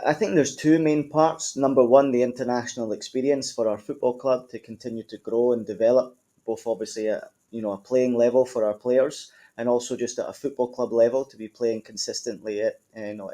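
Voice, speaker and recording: Scottish man with a mellow voice. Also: medium pitch. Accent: Scottish, Edinburgh. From Scotland. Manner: conversational, frequent ums and you-knows. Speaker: football club general manager. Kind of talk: podcast interview.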